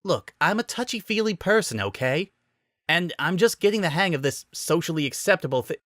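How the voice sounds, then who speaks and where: A man's voice: a little high, lively intonation, brisk, a bit bright, no room sound, studio quality, voice pushed up. A man voicing an awkward, boyish young prince with a self-conscious manner in a romantic comedy story reading. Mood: defensive. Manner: flustered, sheepish, self-conscious. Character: awkward young prince, flustered, touchy-feely and boyishly petulant. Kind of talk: dramatic reading of romantic comedy fan fiction.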